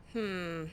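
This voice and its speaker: A woman's voice: on the low side, a little hiss or hum. A woman hosting a role-play gaming video, commenting casually in character with an exasperated, sardonic manner.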